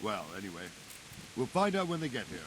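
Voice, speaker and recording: A man's voice: medium pitch, very animated, a little fast, natural voice. A man playing an earnest medieval castle guard on night watch in a video game cutscene. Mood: grim, conversational. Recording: hissy, noisy with rain.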